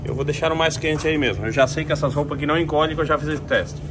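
A man's voice: a little high, rapid-fire. A man with a Brazilian Portuguese accent chats informally to his viewers, narrating everyday chores as he goes with lots of colloquial diminutives. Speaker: vlogger. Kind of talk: casual vlog chat, narrating chores to viewers. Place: inside a self-service laundromat. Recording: noisy laundromat ambience.

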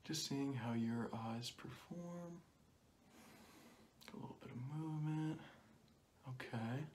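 A young man speaking softly through a cloth mask in an ASMR roleplay as an examiner, often dropping into whispers and repeating short phrases close to the mic. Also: mid-range voice, takes it slowly. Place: small plain indoor room. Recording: clearly noisy home recording with clicks.

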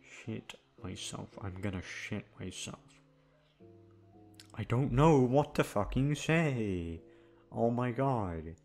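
A man's voice: medium pitch, animated, slow, vocal fry, darkish tone, faint music behind. A man chatting casually to his listeners as an ASMR creator, rambling hesitantly with self-deprecating swearing. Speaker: ASMR audio creator and host.

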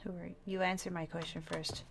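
A woman's voice: lowish voice, flat delivery, fairly quick, vocal fry, slight background noise. A woman playing a nervous, stubborn zombie-apocalypse survivor in an improvised game roleplay, speaking hesitantly. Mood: stubborn, defensive.

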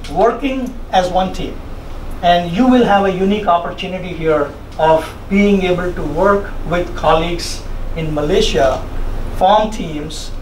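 A middle-aged man with an Indian accent gives an encouraging, conversational keynote to students, often checking in with "right?" or "okay?". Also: on the high side. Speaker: senior research executive giving a keynote. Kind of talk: orientation keynote speech to students. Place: conference room, indoors. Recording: roomy, distant mic, noisy room ambience.

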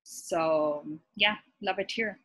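A young woman from Pakistan, a physician guest, talking casually over a video call from her apartment, pausing often with ums and restarting her sentences. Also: medium pitch, a bit bright, poor audio. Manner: thoughtful, many ums and restarts. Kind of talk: casual conversational interview. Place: bright apartment room, indoors. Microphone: laptop webcam on a video call.

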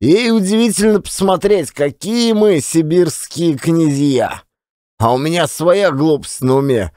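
A man playing a high-voiced folksy Russian yarn-spinner. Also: a little mellow, no echo, studio quality, close to an everyday voice. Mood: rueful. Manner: colloquial, folksy, theatrical with drawn-out words. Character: garrulous folksy provincial Russian man spinning rueful yarns. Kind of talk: dramatized audiobook storytelling, monologue.

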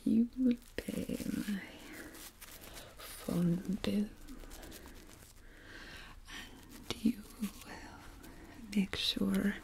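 Bossy vampire ghoul played by a woman, coaxing, drawn-out whisper, dark and creaky, for hypnotic ASMR. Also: medium pitch, rough recording. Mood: bossy, hushed. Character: wheedling, bossy bald Nosferatu-like vampire ghoul. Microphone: close microphone.

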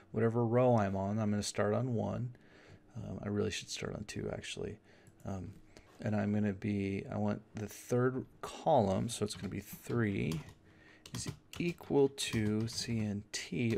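A man giving an informal screen tutorial, thinking aloud as he explains each step in a casual, halting way. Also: fairly low pitch, creaky, darkish tone. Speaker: software tutorial instructor. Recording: noisy room with keyboard clicks. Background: typing and mouse clicks.

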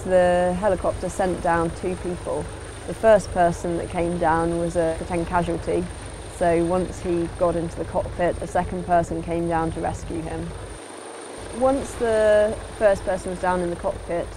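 A woman's voice: fairly low pitch, background noise. A woman giving an instructional voice-over as a sailing instructor, in a plain, explanatory way.

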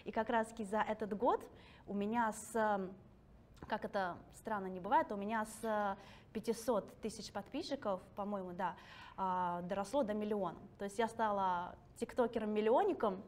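A woman's voice: mid-range voice, normal speed, a little mellow, a little hiss or hum. A woman in her thirties from Russia, talking casually and self-ironically to an audience in a library hall, laughing often. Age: 30s. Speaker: guest speaker.

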